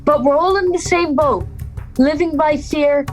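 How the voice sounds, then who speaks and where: A young woman playing an anxious, defiant schoolgirl in an audio drama, speaking in a halting, self-questioning way. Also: high-pitched, faint music behind. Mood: resolute. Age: teenage or young adult. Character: anxious defiant schoolgirl, Little Red, hiding in woods. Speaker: youth theatre actor. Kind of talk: audio drama monologue and dialogue.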